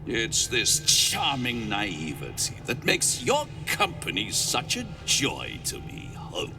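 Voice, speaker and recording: A man's voice: mid-range voice, wildly swooping pitch, slow pace, gravelly, distant mic, exaggerated voice. A man acting a haughty, menacing devil lord in a video game, with theatrical, grandiose delivery and precise diction. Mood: mocking. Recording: game audio with music behind.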